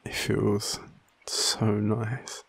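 An Australian man playing an overwhelmed, devoted, self-deprecating partner in an intimate audio roleplay, speaking haltingly with sighs. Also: on the low side, completely flat delivery, gravelly, husky, exaggerated voice. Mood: overwhelmed, tearful. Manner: halting, hesitant, sighing.

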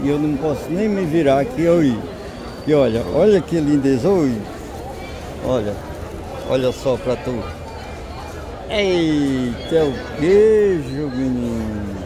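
Slow Northeastern Brazilian man at a market. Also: mid-range voice. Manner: slow, savoring, points out goods. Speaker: vlogger. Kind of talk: walking market tour commentary. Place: busy open-air street market. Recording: loud crowd noise outdoors. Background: crowd chatter and vehicles.

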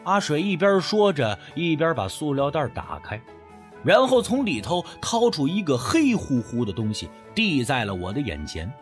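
A man's voice: on the high side, wildly swooping pitch, normal speed, faint music behind. A man narrating a first-person story as an audiobook narrator, in a dramatic storytelling style.

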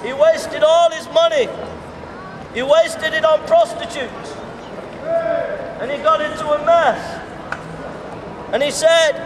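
A middle-aged man preaching to passers-by in a pedestrian street, repeating phrases in an emphatic, slow cadence, recorded from a distance. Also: very high voice. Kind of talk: open-air street sermon. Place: pedestrian shopping street outdoors. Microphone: far camera. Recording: roomy outdoor sound, distant reverb, clear street noise. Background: town street ambience and passing traffic.

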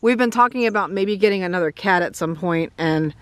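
A woman's voice: lowish voice, brisk. A woman hosting a ghost hunting vlog, talking casually outdoors in the open. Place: outdoors in an open rural cemetery.

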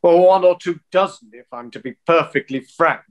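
An actor playing a polite, exasperated county football official, formal and tactful, on a video call. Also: male voice, fairly high pitch, normal speed, lo-fi sound, natural voice. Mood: tactful, firm. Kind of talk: filmed comedy acting on a video call.

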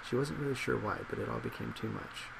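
A man in his twenties speaking in a soft ASMR role play as a psychologist, hesitant, measured and reassuring, recorded in a small office. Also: mid-range voice, gravelly. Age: 20s. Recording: loud laptop fan noise.

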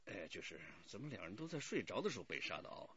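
An earnest, wry detective from a radio crime drama. His lowish voice is bright and forceful with a husky edge. He explains things deliberately and expressively. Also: very animated, highs cut off, full character voice. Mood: concerned, explaining. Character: earnest, wry male police detective on the case.

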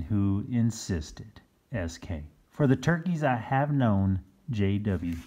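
A man reading a children's storybook aloud in an animated narrating style. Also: fairly low pitch. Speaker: storybook reader.

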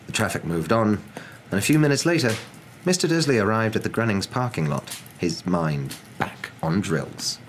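Very deep-voiced young man reading a story. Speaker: storybook narrator. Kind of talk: expressive audiobook-style reading for ASMR. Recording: clear steady background ambience noise.

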